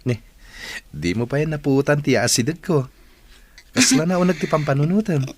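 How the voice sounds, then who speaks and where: A man's voice: on the high side, expressive, moderate pace, slight background noise, natural voice. A man playing an attentive, reassuring young student suitor in an Ilocano radio drama. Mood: concerned. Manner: earnest, solicitous.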